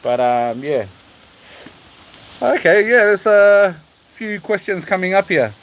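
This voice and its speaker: A man of mixed Australian and Tongan roots, rambling through a relaxed livestream chat with lots of fillers. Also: high voice, takes it slowly. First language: English. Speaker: livestream host.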